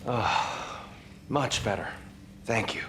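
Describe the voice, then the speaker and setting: An actor playing a broken, gentle, towering god in a video game, hesitant, subdued and humbly polite. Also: male voice, on the low side, animated, slow pace, background noise. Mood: weary, humble. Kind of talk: cinematic video game acting. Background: cinematic music.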